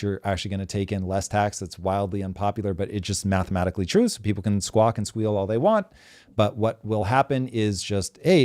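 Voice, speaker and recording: A man's voice: lowish voice, a little mellow, dry recording, clean studio sound. A man hosts a live show and talks animatedly, explaining things at length with examples. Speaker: show host.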